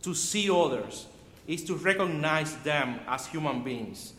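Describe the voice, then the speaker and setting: A man with a Cuban Spanish accent delivers a sermon slowly and earnestly, his voice a little high for a man. He often stops and restarts words as he reaches for them in English. The recording is roomy, with long reverb from a church sanctuary heard from some distance. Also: lively intonation, a bit bright, a little hiss or hum. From Cuba. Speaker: preacher. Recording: echoey hall from far off.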